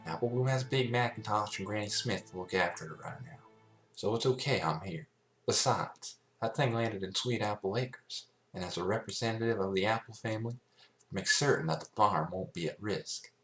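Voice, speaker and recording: A man's voice: fairly low pitch, normal speed, a bit bright, reverberant, faint music behind, voice pushed up, lightly acted. A man voicing a plain-spoken, earnest female farm pony with a folksy, frank manner in a story reading.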